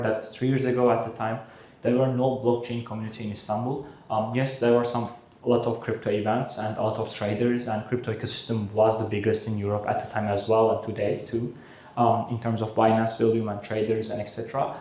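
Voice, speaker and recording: A man's voice: lowish voice, flat delivery, fairly quick, dark tone, a little hiss or hum. A young man in his twenties with a Turkish accent giving an earnest welcome speech, with frequent uhs. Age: 20s. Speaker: conference co-host. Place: conference hall. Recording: very echoey hall.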